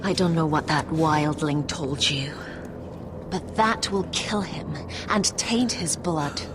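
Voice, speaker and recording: An actress playing a commanding woman leader of a forest stronghold, calm and persuasive as she urges. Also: deep voice, slow, very noisy. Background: music.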